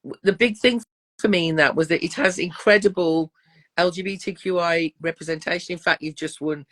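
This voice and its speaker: A woman's voice: fairly low pitch, brisk, fairly bright tone. Affectionate woman hosting a chatty interview. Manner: affectionate, calls people darling and love. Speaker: interview host. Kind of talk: casual interview chat.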